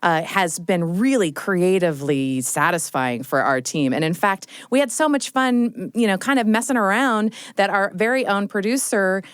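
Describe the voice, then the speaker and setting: A woman's voice: medium pitch, lively intonation, normal speed, few pauses, clean tone, no room sound, clean studio sound. A woman chatting enthusiastically and casually as an audio drama director. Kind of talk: casual post-show conversation.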